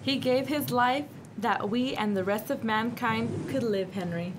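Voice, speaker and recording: Woman acting a high-voiced earnest radio adventurer. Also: measured pace, natural voice. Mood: grieving. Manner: exclamatory, earnest. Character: earnest, awestruck adventurer, former scientist's assistant. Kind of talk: old-time radio drama acting. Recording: clear background ambience noise.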